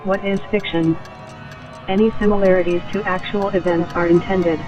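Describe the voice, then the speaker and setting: A man's voice: high voice, level tone, moderate pace. A man reading a scripted introduction as an announcer. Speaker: podcast announcer. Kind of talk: scripted disclaimer narration. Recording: distant voice under music and ticking.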